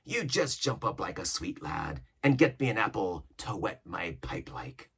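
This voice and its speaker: A scheming older cook with a gravelly growl, as a man reads him aloud. He blusters and drops to rough whispers, the pitch swooping widely. The voice stays husky and low. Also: sing-song, a little slow, a bit bright, full character voice. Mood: menacing. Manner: growling, theatrical, shifting between bluster and whispers. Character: scheming one-legged pirate cook, older man, cunning.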